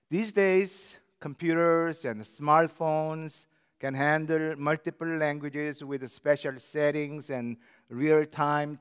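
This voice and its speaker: A man in his sixties with a Korean accent preaches from a church pulpit. He speaks slowly and earnestly in a smooth, resonant voice, and his words carry a long hall reverb. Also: fairly high pitch. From South Korea. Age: 60s. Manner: slow, careful, earnest delivery. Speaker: pastor. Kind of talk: sermon. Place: church sanctuary.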